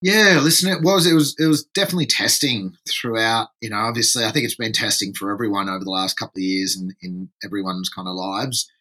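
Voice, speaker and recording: Bright-voiced man from France, rambling. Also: on the low side, steady tone, fast talker, hardly any pauses. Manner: rambling, repeats words while thinking. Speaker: podcast guest, surf environmentalist. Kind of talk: casual podcast interview.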